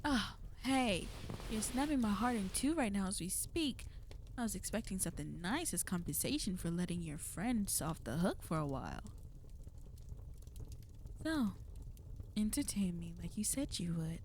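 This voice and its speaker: A woman's voice: medium pitch, expressive, moderate pace, darkish tone, a little hiss or hum, close to an everyday voice. A woman playing a flirty, possessive villain in an ASMR roleplay, speaking intimately to the listener in a teasing, commanding way. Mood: teasing, casual.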